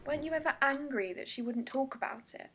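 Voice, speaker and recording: A woman's voice: sits high, quick pace, fairly bright tone. An actress playing a teasing, flirtatious woman who is insecure and wounded underneath, in a radio drama. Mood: subdued, confiding. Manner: teasing, confessional.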